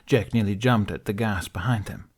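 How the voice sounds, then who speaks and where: Low-voiced man narrating a fan fiction. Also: normal speed, breathy edge. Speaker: podfic reader.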